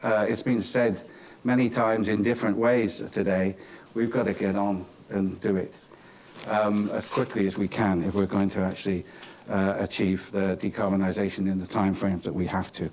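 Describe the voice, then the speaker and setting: A British man from Cornwall giving semi-formal closing remarks at a conference, speaking in a halting, hesitant way. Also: lowish voice, level tone, darkish tone. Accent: British English. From Cornwall, England. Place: indoor business school conference venue. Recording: clearly noisy conference room recording.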